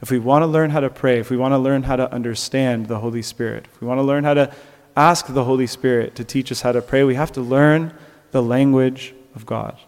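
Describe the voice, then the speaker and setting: A man's voice: medium pitch, steady tone, fairly quick, a little mellow, in a large hall. A man preaching a sermon in an earnest way, rising at times to emphatic peaks. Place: church.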